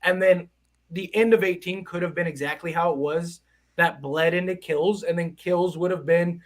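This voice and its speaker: A man around thirty co-hosting a live horror movie stream, talking in long, animated, rambling stretches full of restarts. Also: high-pitched, normal speed. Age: 30s. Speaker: co-host.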